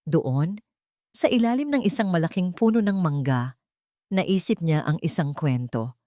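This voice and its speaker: A woman with a Filipino accent narrates a romantic audio drama in a measured, emotive storytelling manner. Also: lowish voice, lively intonation, mellow, no room sound, clean studio sound.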